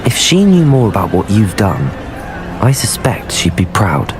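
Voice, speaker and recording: An earnest young wizard student for a video game. He speaks politely and properly, with a very mobile melody. Music plays clearly behind him. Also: fairly low pitch, very animated, a little slow. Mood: sympathetic. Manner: earnest, well-spoken. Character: brave, polite young male wizard student, calm and curious.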